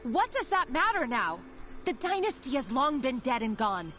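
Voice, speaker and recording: A woman's voice: high voice, big pitch swings, moderate pace, fairly bright tone. An actress playing a conflicted but resolute young woman with a hidden past, speaking earnestly in a dramatic video game style. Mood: shocked, indignant. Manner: earnest, theatrical. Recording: slightly dull game audio with music.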